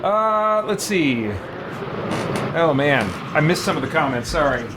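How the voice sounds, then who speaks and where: A man's voice: on the high side, animated, measured pace. A man live-streaming a video game, chatting informally with viewers and thinking aloud as he plays. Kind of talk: casual live-stream chat and gameplay commentary. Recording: clear background noise from game ambience.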